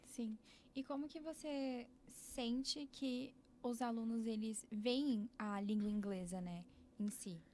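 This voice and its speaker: A woman's voice: a little high, slow pace, a little mellow, a little hiss or hum. A woman interviewing a podcast guest, with short follow-up questions and brief acknowledgments. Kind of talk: casual interview conversation.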